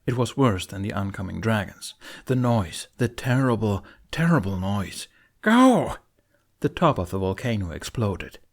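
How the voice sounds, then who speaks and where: A man narrating a fantasy adventure audiobook in an expressive, scripted storytelling style. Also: fairly low pitch, moderate pace, hardly any pauses.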